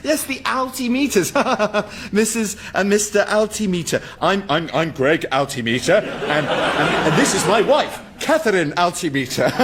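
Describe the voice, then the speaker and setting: A flustered airline captain in a radio comedy. His fairly high voice swoops about as he stammers, fussy and over-eager, over clear background noise. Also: expressive, a little slow. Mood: over-amused. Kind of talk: radio sitcom acting. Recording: noisy radio sitcom recording.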